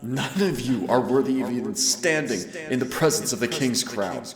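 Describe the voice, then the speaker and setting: A man playing a proud, teasing demon of royal blood in an audio roleplay, with a gravelly, husky, intimate and theatrical delivery. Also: lively intonation, moderate pace, a crowd in the background, close to an everyday voice. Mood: furious, grieving. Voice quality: gravelly, husky, breathy low voice. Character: teasing male demon roommate, winged, proud royal, protective and wounded. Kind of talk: audio roleplay acting addressed to the listener.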